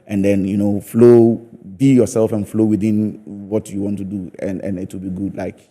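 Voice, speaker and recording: A man's voice: lowish voice, flat delivery, moderate pace, mellow. A Ghanaian man speaking as a comedian guest in a conversational TV interview, emphatic and often repeating his words.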